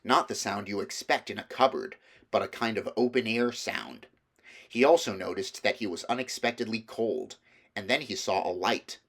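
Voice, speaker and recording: A man's voice: on the low side, normal speed, a bit bright. A man reading a children's novel aloud as scripted storybook narration. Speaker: audiobook reader.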